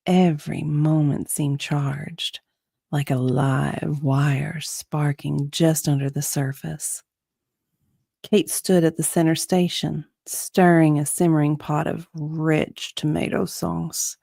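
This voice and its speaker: A woman's voice: low-pitched, steady tone, takes it slowly, gravelly, mellow, dry recording, studio quality. A woman narrating a romance story as a dramatic reading, in a sultry, suspenseful manner.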